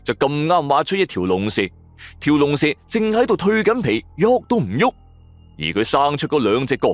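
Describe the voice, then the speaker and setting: Male storyteller, highly animated suspense narration for a supernatural audio drama, music underneath. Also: moderate pace, no room sound. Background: background music.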